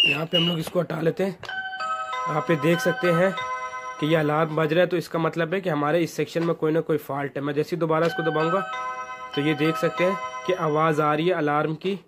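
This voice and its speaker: A man narrating an informal hands-on repair tutorial, guiding viewers step by step in an instructional way. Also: a little high. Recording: noticeable background noise.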